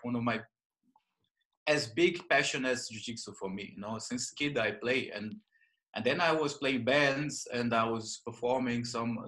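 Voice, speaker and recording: A man from northern Brazil with a Brazilian accent, telling his story as an interview guest, halting with many fillers and restarts. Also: mid-range voice, pushed and bright, poor audio. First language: Portuguese. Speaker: guest, jiu-jitsu and yoga teacher. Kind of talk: casual interview.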